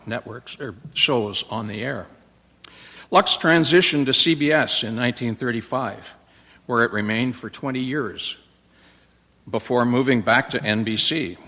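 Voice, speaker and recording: A man hosts a live stage performance and reads the introduction aloud. His voice is bright and forceful, and he stumbles over fillers and small slips. A long reverb from the hall trails every phrase. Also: medium pitch. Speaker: host introducing the radio play. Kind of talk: read introduction.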